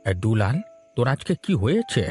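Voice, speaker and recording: Man acting a mellow-voiced, concerned village friend. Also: medium pitch, big pitch swings, normal speed, soft background music, lightly acted. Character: concerned old friend, inquisitive and fatalistic. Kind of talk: Bengali cartoon voice acting.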